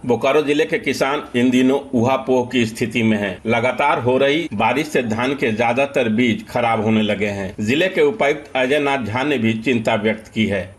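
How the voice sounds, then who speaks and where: A man, a radio correspondent, reading a scripted news report in a steady, even way. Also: mid-range voice.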